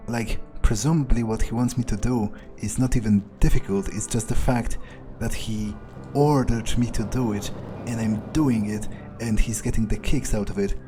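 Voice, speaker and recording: A man's voice: on the low side, fairly even intonation, dark tone, lightly acted. A man voicing a jaded, wary male vampire in a game playthrough, wryly muttering his thoughts in character. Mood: jaded, musing. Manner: unhurried, wry, muttering to himself. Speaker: gamer role-playing in character. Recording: faint game music behind.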